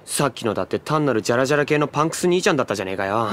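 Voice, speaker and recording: Man acting a brash, lively young undead guy. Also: mid-range voice, racing, a little hiss or hum, lightly acted. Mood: irritated, bossy. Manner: rough slangy street talk, bossy. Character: brash young undead man, rough-tongued and money-hungry. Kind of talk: anime audio drama acting.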